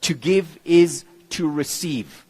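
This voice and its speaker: A man whose mother tongue is Hebrew gives a motivational conference talk in English, with measured, emphatic phrasing and deliberate pauses. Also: slightly rough. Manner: lively, expressive pitch, dropping low on key words. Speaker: keynote speaker.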